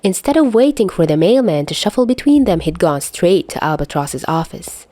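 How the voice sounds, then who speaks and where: Female audiobook narrator, lowish register, highly varied intonation, dramatic storytelling delivery. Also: big pitch swings, moderate pace, warm tone, no room sound. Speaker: fan fiction reader. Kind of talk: expressive scripted story narration.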